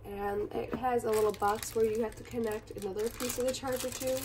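A young woman, hoarse and raspy from being sick, chatting casually and apologetically while unboxing on a bedroom floor. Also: on the high side, fairly even intonation, fairly quick, far from the mic, noisy background. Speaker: vlogger. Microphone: phone camera filming from above.

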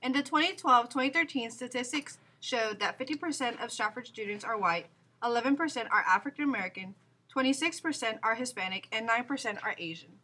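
A young woman reporter with a bright but slightly husky voice, pitched a little high. She reads her segment with an even, read-aloud pacing. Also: brisk. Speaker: student reporter. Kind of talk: scripted news report.

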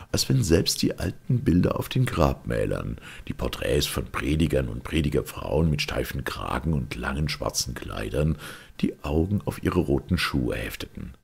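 A man with an extremely low voice reads a fairy tale aloud. His tone is rough and husky, crackling with fry, and he tells the story with dramatic emphasis. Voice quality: gravelly, husky. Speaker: audiobook narrator.

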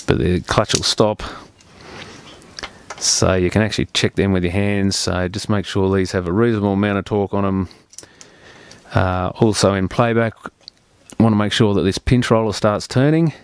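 A man's voice: fairly low pitch, smooth, no room sound. A man presenting an unscripted hands-on repair tutorial as a technician, explaining each step in a plain-spoken, practical way.

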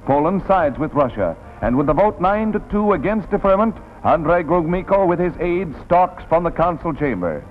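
Male American newsreel narrator, 1940s: dramatic, higher-pitched, swooping delivery over music on a tinny old soundtrack. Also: sing-song, moderate pace, few pauses. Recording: tinny vintage film soundtrack, music behind.

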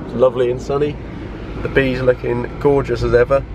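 A slightly hoarse young man, chatting upbeat as vlog host from a car, filmed at arm's length. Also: medium pitch, level tone, a little fast. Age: young adult. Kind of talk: casual car vlog chat. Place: inside a car and a car dealership. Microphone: handheld camera at arm's length. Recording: noisy car and street sound. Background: engine and road noise.

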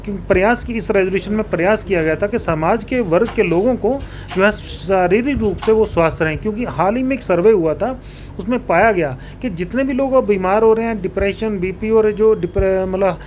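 High-voiced man, politician giving interview answers, explanatory, English terms mixed in, noisy room. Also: normal speed, a little mellow. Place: small indoor office, seated on a sofa. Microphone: handheld reporter mic held toward him. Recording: clear background room noise.

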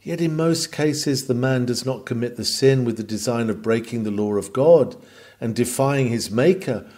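A man reading a Victorian sermon aloud in an oratorical manner, with deliberate pauses and emphasis. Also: mid-range voice, mellow. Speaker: reader of a historical sermon.

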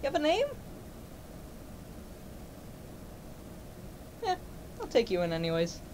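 Impatient, suspicious girlfriend acted by a woman: high-pitched, casual muttering, homemade skit, faint noise behind. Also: huge pitch swings, fast, darkish tone.

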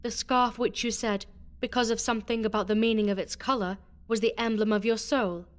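A man voicing a cold, ironic young noblewoman in a storybook reading, in a raised falsetto. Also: expressive, normal speed. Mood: coy. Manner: cool, mocking, falsetto.

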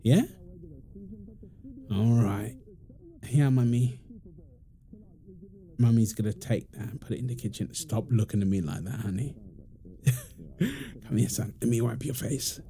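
Guy playing a playful young dad in roleplay audio, slow and soft, bit of gravel in his voice, affectionate teasing. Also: mid-range voice, very animated. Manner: teasing, soft-spoken, chuckling, informal. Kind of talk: intimate roleplay audio, casual domestic chat.

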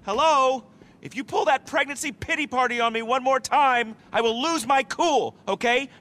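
An actor playing a needy, self-important showbiz hanger-on in a video game, with a showy, whining delivery. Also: male voice, very high voice, moderate pace, bright, forceful tone. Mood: showy, irritable. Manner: whiny, pleading, showy. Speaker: TV host turned DJ. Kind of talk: video game cutscene acting.

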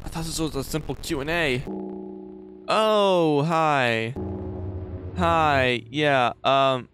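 A young man giving casual, expressive let's play commentary with some swearing, close on a desk microphone. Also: fairly high pitch, slow. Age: young adult. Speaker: gaming video host. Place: small indoor gaming room. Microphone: close boom-arm desk microphone. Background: game audio and music.

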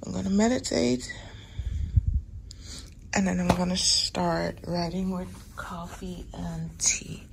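A woman vlogging casually from a hotel room in the morning, her voice raspy and often dropping to a whisper. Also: lowish voice, fairly even intonation, takes it slowly, long pauses, noisy background. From Netherlands. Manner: soft, often whispering in the dark.

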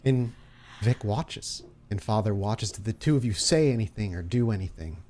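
A man's voice: lowish voice, expressive, moderate pace, darkish tone, a little hiss or hum. Playful man running a tabletop game. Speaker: game master. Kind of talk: tabletop roleplay narration and rules talk.

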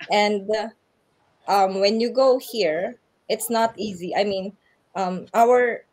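A woman's voice: mid-range voice, a little mellow. A woman with a Filipino accent, a guest speaker giving earnest advice over a video call, laughing now and then. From Philippines. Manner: earnest, advising, laughs often. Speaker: guest speaker, English teacher in Japan. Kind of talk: online orientation interview answers.

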